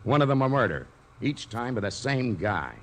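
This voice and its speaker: An actor playing a terse, frustrated police lieutenant in a 1940s radio crime drama, on a noisy old recording. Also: male voice, mid-range voice, sing-song, moderate pace, a bit bright. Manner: terse, hard-boiled.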